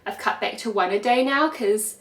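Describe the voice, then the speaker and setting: A woman's voice: fairly high pitch, normal speed, a bit bright. Stuffy-nosed young New Zealand woman. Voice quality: nasal. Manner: chatty, filler-heavy. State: congested, stuffy nose. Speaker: vlogger. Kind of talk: casual chat. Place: home kitchen. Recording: echoey room.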